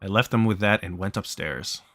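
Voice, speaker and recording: A man's voice: sits low, normal speed, fairly bright tone. A man reading a visual novel aloud in a casual way, acting out bits of dialogue as he narrates. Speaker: let's player reading game text aloud.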